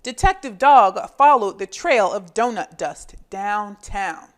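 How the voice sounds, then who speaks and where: A woman hosting a children's phonics lesson and storybook read-aloud, enunciating deliberately and expressively as a teacher does. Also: mid-range voice, lively intonation.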